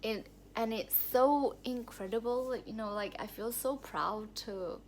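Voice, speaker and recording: A woman's voice: a little high, unhurried, a little mellow, a little hiss or hum, rough recording. Woman vlogging about her life, chatty and heartfelt, rambles and restarts a lot, indoors near the camera. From Thailand. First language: Thai. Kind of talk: personal story vlog. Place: indoor room at home.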